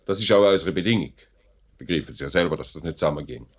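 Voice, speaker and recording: A man's voice: sits low, animated, husky, exaggerated voice. A man playing a formal, authoritative factory director with a Swiss German accent in a 1950s radio play. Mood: firm. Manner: measured, formal, noncommittal. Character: measured, authoritative male factory director, businesslike.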